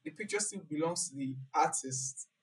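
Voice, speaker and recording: A woman hosting a casual chat, animated, laughing and restarting her sentences often. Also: deep voice, moderate pace, low-quality recording. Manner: animated, laughs, talks with many fillers and restarts. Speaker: podcast host.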